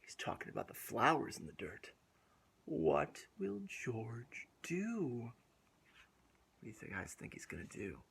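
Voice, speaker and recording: A man reading a picture book aloud to young children in an animated, drawn-out way, asking questions and dropping into whispers. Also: mid-range voice, gravelly, slight background noise. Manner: drawn-out, asks the listeners questions, drops to whispers. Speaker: children's storytime host.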